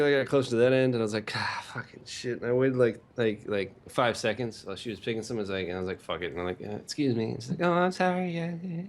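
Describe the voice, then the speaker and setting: A man casually telling crude, vulgar stories in a halting, repetitive, filler-heavy way. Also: lowish voice. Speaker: co-host of a visual novel rant show. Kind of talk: casual rambling crude storytelling.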